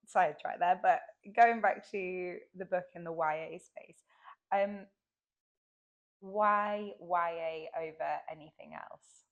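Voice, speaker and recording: A woman, interviewing an author in a relaxed, friendly chat. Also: lowish voice, takes it slowly, highs cut off. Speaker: interviewer for a publisher.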